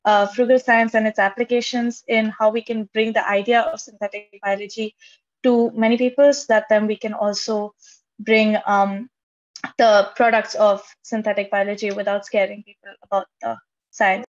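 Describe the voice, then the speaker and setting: A woman's voice: medium pitch, level tone, normal speed, lo-fi sound. A young woman, a first-year student eagerly introducing herself in long run-on sentences. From India. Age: young adult. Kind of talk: self-introduction in an online class. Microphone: video call.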